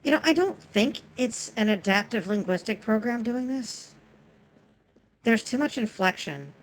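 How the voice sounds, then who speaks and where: A woman's voice: a little high, lively intonation, measured pace, rough recording. A woman whose first language is Japanese, chatting casually and profanely with viewers while streaming a game.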